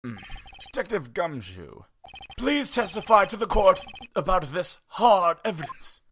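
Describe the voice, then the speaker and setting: A man's voice: high voice, sing-song, measured pace, fairly bright tone, slight background noise, full character voice. A man playing a solemn, ponderous older judge in an amateur courtroom game dub, stately and musing. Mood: pondering.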